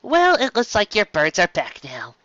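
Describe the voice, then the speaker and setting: A woman voicing an eager, bossy young female pony, brisk and impatient with a falsely cheery edge. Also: fairly high pitch, big pitch swings, fairly bright tone, dry recording, lo-fi sound, voice pushed up.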